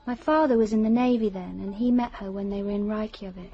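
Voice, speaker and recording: An actress playing an intense, secretive young British woman in a radio drama, cool, deliberate and self-assured. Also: medium pitch, breathy edge, lo-fi sound. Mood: matter-of-fact, boastful. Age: young adult.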